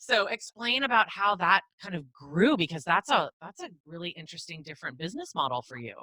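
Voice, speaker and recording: A woman's voice: on the low side, big pitch swings, fairly quick, bright, forceful tone, dry recording, low-quality recording. A woman hosting an interview, asking her guest friendly, prompting questions. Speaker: podcast host.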